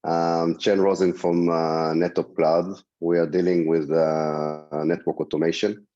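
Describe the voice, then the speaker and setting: Hoarse low-voiced man, halting speech. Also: flat delivery, dark tone, highs cut off. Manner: hesitant, many fillers and restarts. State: hoarse from a cold. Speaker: panelist. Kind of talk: panel discussion answer. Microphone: video call.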